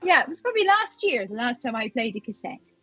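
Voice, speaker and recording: A woman's voice: a little high, big pitch swings, quick pace, muffled recording, loud music behind the voice. An Irish woman co-hosting a radio show, a chatty storyteller who laughs often and restarts her sentences. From Ireland. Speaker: radio co-host. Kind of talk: casual chat.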